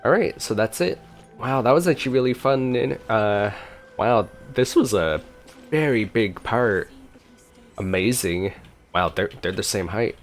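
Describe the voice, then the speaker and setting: Male gaming streamer, lowish pitch, casual reactions, informal, exclamatory, faint music behind. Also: animated, unhurried. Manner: informal, easily impressed, exclamatory. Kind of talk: casual gameplay reaction commentary.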